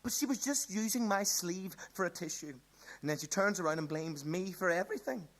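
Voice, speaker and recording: A man's voice: high-pitched, expressive, fairly quick, a bit bright. A man acting an upset, put-upon teenage boy in a live radio play, naturalistic and self-justifying. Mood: agitated, hurt.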